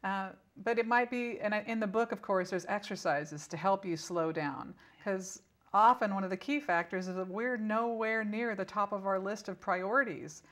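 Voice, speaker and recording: A woman with a medium-pitched voice is the guest in an interview, speaking as a spiritual teacher. She explains conversationally and instructively, stressing key points with short repeated phrases and examples. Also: moderate pace, fairly bright tone.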